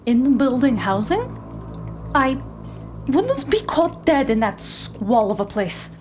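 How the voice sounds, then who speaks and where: A woman's voice: high voice, very animated, slow, a little mellow, soft background music, exaggerated voice. A woman playing a haughty, imperious operative who poses as a scientist in an audio drama. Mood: indignant. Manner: haughty, indignant.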